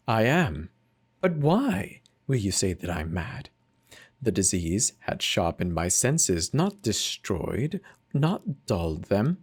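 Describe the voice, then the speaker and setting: Man reading horror slowly, wild pitch swings. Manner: theatrical, whispery swings from hushed to manic. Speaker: narrator. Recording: faint music behind the voice. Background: faint instrumental music.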